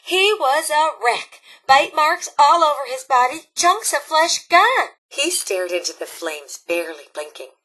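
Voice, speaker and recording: A woman's voice: high-pitched, big pitch swings, a little slow, hardly any pauses, bright, forceful tone, full character voice. A woman voicing a cheerful, motherly robot scout leader in a video game, theatrical and folksy as a campfire storyteller. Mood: spooky, suspenseful.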